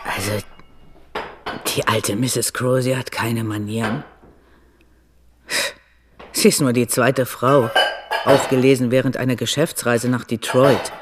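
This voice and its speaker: A woman's voice: extremely low pitch, steady tone, measured pace, pauses often, background noise. An actress playing an opinionated, outspoken small-town mother with an emphatic manner in a radio drama. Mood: scornful.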